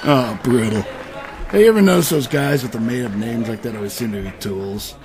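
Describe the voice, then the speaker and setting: A male actor as a skeptical, wisecracking leader of adventurers in a fantasy comedy drama. He speaks casually and drily mocking, in a mid-range voice. Music is clearly audible behind him. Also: mid-range voice, brisk, natural voice. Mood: joking. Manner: casual, sarcastic, joking. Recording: music under the voice.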